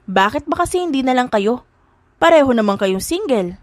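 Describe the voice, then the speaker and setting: A woman's voice: high-pitched, lively intonation, moderate pace, no echo. A woman voicing a bubbly, lovestruck young woman, girlish and gushing, in an audiobook romance. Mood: teasing. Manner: gushing, squealing.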